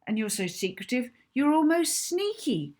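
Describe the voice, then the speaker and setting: Woman acting a furious young schoolgirl, high-pitched. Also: big pitch swings, moderate pace, a little mellow. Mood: snappy, accusing. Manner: heated, emphatic, accusing. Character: furious, hurt young schoolgirl, snappy and defiant. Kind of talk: acted children's audiobook dialogue.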